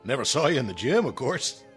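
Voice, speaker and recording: Animated, easygoing American office colleague. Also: male voice, mid-range voice, normal speed, breathy edge, faint music behind, heavily acted. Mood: puzzled. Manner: casual, hesitant, bantering. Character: easygoing, puzzled male office colleague, joking and obliging. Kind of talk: naturalistic dramatic acting.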